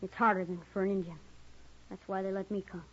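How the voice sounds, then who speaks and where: A woman's voice: mid-range voice, level tone, quick pace, close to an everyday voice. A woman playing a proud, wary, stoic captive boy in an old time western radio drama. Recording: muffled old radio recording.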